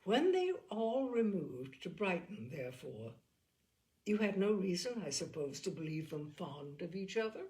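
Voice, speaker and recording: A woman voicing a sensible, gently probing middle-aged Regency aunt in a novel reading, measured and formal. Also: lowish voice, big pitch swings.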